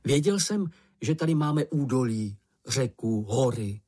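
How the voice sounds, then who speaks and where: A narrator voicing a good-natured young male troll child, curious and calm, in a matter-of-fact manner. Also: medium pitch, slightly rough. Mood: delighted.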